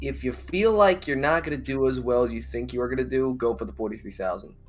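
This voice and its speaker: A man's voice: mid-range voice, fast talker. A man casually advising a teammate on a quiz show, keen to make his point. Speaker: quiz team member. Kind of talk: casual team discussion. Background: background music.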